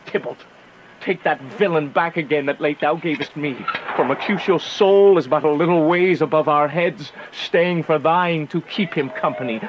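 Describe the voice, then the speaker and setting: An actor playing a passionate, grieving and vengeful young lover in a Shakespearean radio drama, earnest and declamatory. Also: male voice, fairly high pitch, a little hiss or hum, natural voice, poor audio.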